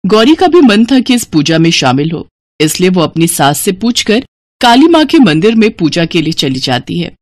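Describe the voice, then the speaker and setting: A woman's voice: on the low side, big pitch swings, normal speed, dry recording. A woman reading scripted narration for a cartoon story.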